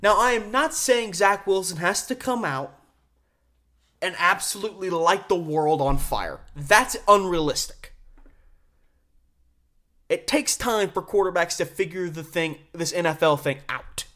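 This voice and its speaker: A man hosting a solo sports podcast, giving conversational, opinionated commentary with emphatic stresses and deliberate pauses. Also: high voice, bright, forceful tone.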